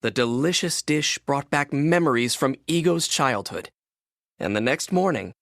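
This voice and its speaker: Animated male storybook narrator. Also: medium pitch, unhurried, vocal fry, no echo, clean studio sound. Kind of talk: scripted children's read-along narration.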